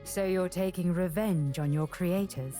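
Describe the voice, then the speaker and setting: A woman voicing a low, curt fighter. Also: unhurried, warm tone, faint music behind, natural voice. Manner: clipped and dismissive.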